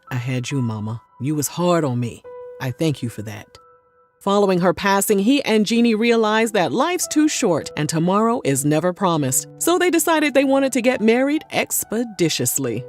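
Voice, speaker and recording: A woman's voice: mid-range voice, a little mellow. A woman narrating a celebrity gossip video from a script and breaking in with sassy, snarky ad-libbed asides.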